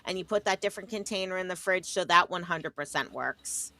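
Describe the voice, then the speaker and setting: A woman's voice: fairly low pitch, fairly quick, sharp tone, no room sound. A woman with a New York-sounding accent, chatting casually and at length as a co-host on a livestream over a video call. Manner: chatty, talkative rambling storyteller.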